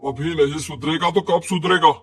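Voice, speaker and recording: An exasperated middle-aged dad acted by a man in a cartoon moral tale. His voice sits high and he nags and scolds sternly over background music. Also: fairly even intonation, moderate pace, close to an everyday voice. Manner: nagging, scolding. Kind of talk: Hindi cartoon moral story acting. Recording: music under the voice.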